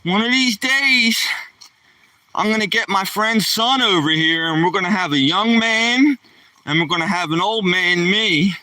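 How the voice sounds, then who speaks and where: Rambling sixty-something man, bright and dry. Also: high-pitched, unhurried, dry recording, recorded from a distance. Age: 60s. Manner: rambling, folksy, slangy. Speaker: live streamer. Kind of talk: casual live-stream chat while walking his dog. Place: outdoors on a neighborhood walk. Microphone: handheld phone.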